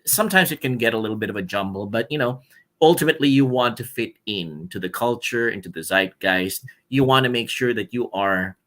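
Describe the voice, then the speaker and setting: Guy co-hosting a laid back interview, bright punchy voice. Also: on the low side, lively intonation, brisk. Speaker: show co-host.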